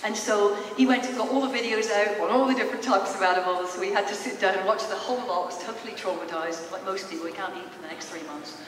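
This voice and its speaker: A woman's voice: medium pitch, quick pace, few pauses, crowd noise. A woman in her fifties giving an informal, conversational public talk as a storytelling presenter in a large hall. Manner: conversational, storytelling, addresses listeners with questions. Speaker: speaker, psychologist presenting. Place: large theatre hall, in front of stage. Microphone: handheld mic through PA, captured by distant camera. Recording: echoey hall from far off, noisy.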